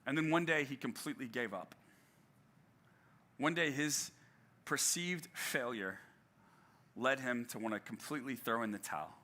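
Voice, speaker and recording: A man's voice: mid-range voice, moderate pace, long pauses, bright, forceful tone. A male pastor giving a sermon in a conversational way, with frequent fillers and restarts and some self-deprecating humor.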